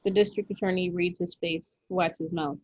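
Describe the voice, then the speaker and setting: A woman's voice: lowish voice, fairly even intonation, moderate pace, a little mellow, breathy edge, dry recording. A woman reading screenplay stage directions as scripted narration, on a dull, dry recording.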